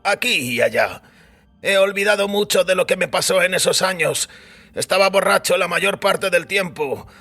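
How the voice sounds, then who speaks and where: An actor playing a weary older priest who recounts a wild youth in a wry, reflective way, with a raspy voice. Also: male voice, high voice, lively intonation, moderate pace, pushed and bright, full character voice. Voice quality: raspy, gravelly. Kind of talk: dramatised audiobook acting. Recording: music bed under the voice.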